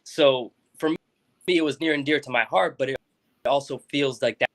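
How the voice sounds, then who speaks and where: A man's voice: mid-range voice, moderate pace, fairly bright tone. Rambling man on a talk show. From South Florida. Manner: rambling, many restarts and fillers. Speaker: school board member guest. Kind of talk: casual interview talk.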